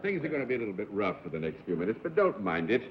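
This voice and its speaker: Low-voiced quiz show host guy, lively up and down delivery, dull old tv audio with a faint hiss. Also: very fast. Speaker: panel show moderator. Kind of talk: formal, genial game-show hosting. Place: television studio with live audience. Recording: dull 1960s television broadcast audio.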